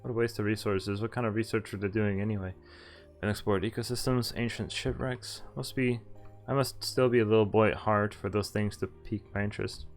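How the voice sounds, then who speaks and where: A man's voice: on the low side, steady tone, fairly quick, faint music behind. A male streamer reading visual novel text aloud, sometimes stumbling and correcting himself. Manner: stumbles over words and self-corrects. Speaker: game streamer.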